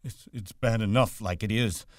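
A man's voice: lowish voice, no echo, clean studio sound, pitched above the performer's own voice, close to an everyday voice. A man voicing a childlike, simple big man from a storybook, eager and easily panicked, with ungrammatical rustic speech. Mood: frightened, bewildered. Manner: ungrammatical rustic speech, slow-witted and repetitive.

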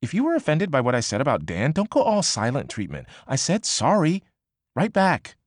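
A man's voice: a little high, huge pitch swings, no echo, studio quality, voice pushed up. A man voicing a brash, pushy young woman. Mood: agitated. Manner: quick, emphatic, swearing freely. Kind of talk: audiobook character voice.

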